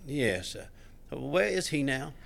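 An actor playing a gentle, scholarly colleague in an audio drama, speaking in a hesitant, politely precise way. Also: male voice, medium pitch, lively intonation, takes it slowly, vocal fry, heavily acted. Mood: amiable. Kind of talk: naturalistic audio drama acting.

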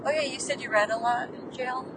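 A woman's voice: steady tone, brisk. Curious woman host asking in a car. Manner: curious, asks many short questions. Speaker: podcast host. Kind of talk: casual interviewing. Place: car on a road trip. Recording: distant mic, loud car rumble.

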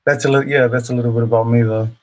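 A man in his thirties from New Zealand, a guest on an interview, answering casually and humbly with many pauses and fillers. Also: mid-range voice, flat delivery. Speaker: guest, professional rugby player.

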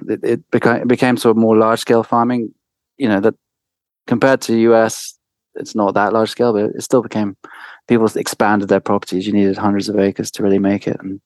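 A man from France, a winemaker guest, answering interview questions in a hesitant way with many restarts and soft laughs. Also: fairly low pitch, dry recording. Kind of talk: conversational interview.

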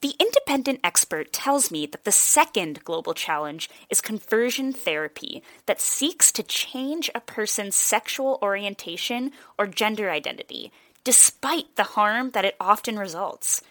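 Young woman reporter reading her script, clean even delivery, dry close sound. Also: medium pitch, normal speed, no echo. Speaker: reporter and host. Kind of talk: scripted narration.

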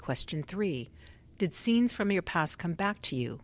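Low-pitched, composed woman researcher played by an actress. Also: very animated, slight background noise. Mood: procedural. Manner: formal, measured, procedural. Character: composed, polite, methodical woman research doctor. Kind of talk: radio drama acting.

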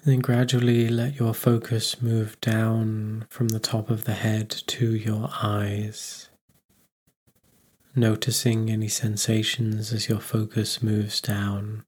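Husky low-voiced man guiding meditation. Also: monotone. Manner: unhurried, gently instructive with pauses. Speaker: meditation guide. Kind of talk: guided meditation narration.

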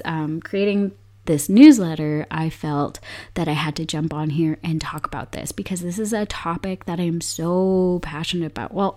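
A young woman hosting a solo podcast, her voice hoarse from illness, upbeat and chatty with frequent laughs. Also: low voice, darkish tone. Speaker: podcast host.

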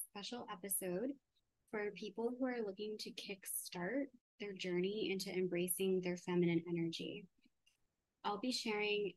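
A woman hosting a solo podcast, earnest and chatty, slightly raspy and congested from allergies, often checking in with "right?". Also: mid-range voice, dry recording, poor audio. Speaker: podcast host. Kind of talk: casual solo podcast talk.

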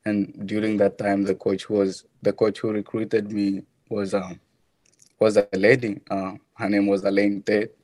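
A low-pitched young man, speaking in an extremely flat, even tone. He answers softly and haltingly, and the sound is dry and close. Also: no echo. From Kenya. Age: young adult. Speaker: college runner being interviewed.

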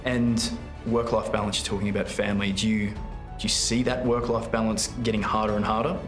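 A man's voice: on the low side, level tone, a little fast. A man hosting an interview, asking questions and giving brief encouraging replies. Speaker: interviewer host. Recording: roomy echo, faint music.

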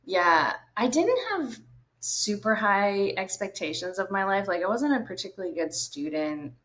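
A woman's voice: medium pitch, lively intonation, normal speed, a bit bright. A woman in her thirties from Maine, a guest in a casual podcast interview, talking easily with lots of "like" fillers. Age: 30s. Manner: easygoing, many fillers like "like".

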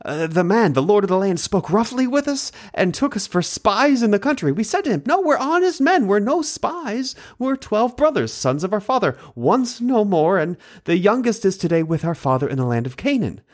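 A man's voice: high voice, sing-song, normal speed, few pauses, voice pushed up. A man voicing a group of frightened, guilt-ridden grown brothers, pleading in a raised, flustered voice. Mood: anxious pleading.

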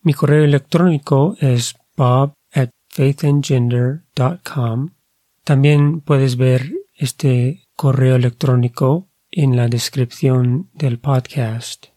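A man's voice: medium pitch, fairly even intonation, slow pace, mellow, no room sound. A man hosting a podcast, speaking careful, earnest Spanish. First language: English. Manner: careful, earnest, slightly hesitant non-native Spanish. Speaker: podcast host. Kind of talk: slow reflective podcast monologue.

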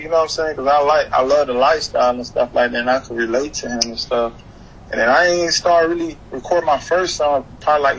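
A man's voice: mid-range voice, fairly bright tone. A young man from Haiti and Florida chatting casually as an interview guest, slangy and repeating his phrases. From Haiti, moved to the US at eleven; Florida. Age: young adult. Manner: slangy, repeats phrases, often says "you know what I'm saying". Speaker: interview guest, hip-hop artist. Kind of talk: casual chat. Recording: clearly noisy background ambience.

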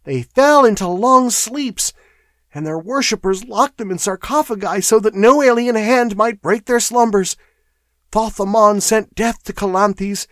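A man's voice: high voice, measured pace, a bit bright, dry recording, studio quality, pitched above the performer's own voice. A man voicing a frightened, chattering male clerk full of eerie warnings in a fantasy audiobook. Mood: eerie dread. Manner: chattering, fearful, ominous.

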